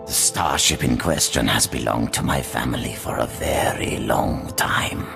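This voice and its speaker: An actor playing a dying, ruthless old crime lord in a video game, speaking slowly and gravely with a breathy, gravelly voice. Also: male voice, rumbling low, over music, exaggerated voice. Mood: grave, weary. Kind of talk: video game character acting.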